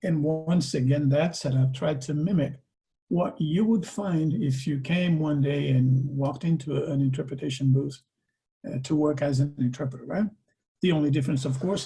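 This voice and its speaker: A man's voice: fairly high pitch, dark tone, low-quality recording. A man with a Portuguese accent, a native Portuguese speaker, giving a conversational presentation over a video call and often ending his points with a tag question. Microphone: video call microphone.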